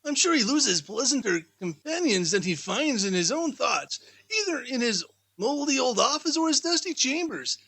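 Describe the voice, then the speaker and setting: A man voicing a cheerful, good-natured young Victorian gentleman in a chatty, animated way for a storybook. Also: very high-pitched, normal speed, breathy edge, voice pushed up, full character voice. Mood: cheerful, pitying.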